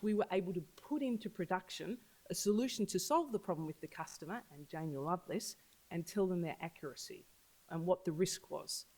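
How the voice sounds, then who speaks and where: An agtech business leader giving an earnest launch speech to an audience at an event. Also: low-quality recording. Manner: earnest, pitching, repeats for emphasis. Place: event venue.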